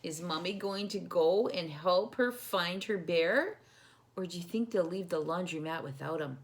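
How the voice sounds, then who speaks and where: A woman reading a picture book as a storytime host, animated and addressing young children directly with questions. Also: fairly low pitch, moderate pace.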